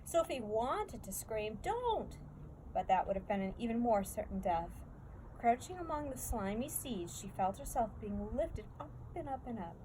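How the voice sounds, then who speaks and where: A woman with a fairly high voice reads a children's story aloud. Her narration is expressive and scripted, and clear background noise sits behind her. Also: normal speed, poor audio. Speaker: storybook reader.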